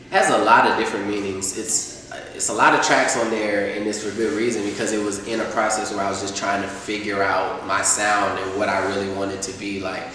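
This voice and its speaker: Young rapper guy talkin slow and loose, low-ish voice, echoey room, mic far off. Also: a bit bright, slight background noise. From Atlanta, US. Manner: laid-back, rambling with many fillers. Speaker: rapper guest. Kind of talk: casual interview chat.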